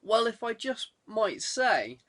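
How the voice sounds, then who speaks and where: A man's voice: high-pitched, sing-song, slow, a bit bright, voice pushed up, heavily acted. A man voicing a flustered, deferential police superintendent in an audiobook, hesitant and apologetic. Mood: tentative. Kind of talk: audiobook character dialogue.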